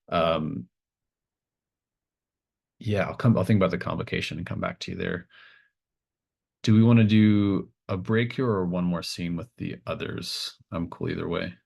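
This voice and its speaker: Very deep-voiced man running a tabletop game. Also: creaky, darkish tone. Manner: halting, casual phrasing as he improvises scenes. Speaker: game master. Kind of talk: improvised narration of a tabletop horror game.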